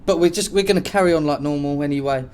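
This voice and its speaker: A man's voice: fairly high pitch, quick pace, darkish tone, slight background noise. A man with a British accent hosting a casual, improvised podcast, chatting in slangy, sweary language. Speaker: podcast host.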